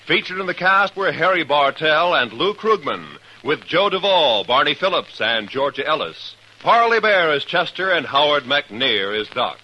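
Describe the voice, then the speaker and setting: A man reading the closing credits as a radio announcer, in a formal, crisp delivery. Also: on the high side, big pitch swings, moderate pace, hardly any pauses, bright, forceful tone, highs cut off. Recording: old radio transcription with hiss.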